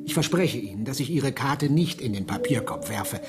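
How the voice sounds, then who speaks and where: An actor playing a dogged, ironic editor turned amateur sleuth in a German radio drama, hesitant when pressed. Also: male voice, medium pitch, animated, normal speed, background noise, lightly acted. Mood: apologetic.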